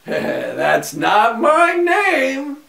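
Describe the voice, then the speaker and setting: A man voicing a funny, sly little man in a fairy tale, with a high shrill tone. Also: huge pitch swings, very slow, gravelly, sharp tone, full character voice. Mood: teasing. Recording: echoey room, far from mic.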